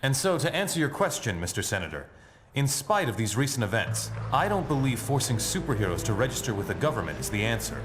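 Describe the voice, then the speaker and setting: A sardonic game superhero tycoon. His mid-pitched delivery swings widely, wry and self-assured, with music playing behind. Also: sing-song, fast, a bit bright. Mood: measured, persuasive. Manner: wry, articulate, persuasive. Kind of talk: video game cutscene acting.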